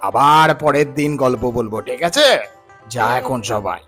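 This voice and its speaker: A man playing a kindly old grandfather in a children's cartoon, speaking in a familiar, gently instructive way. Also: medium pitch, normal speed, soft background music, natural voice. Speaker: storyteller who frames the tale. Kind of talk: slow cartoon dialogue with children.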